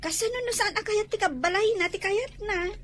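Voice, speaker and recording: Woman doing super high pitched cousin who runs a shop, concerned n persuasive, comedy drama. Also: normal speed, fairly bright tone. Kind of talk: Ilocano comedy-drama voice acting.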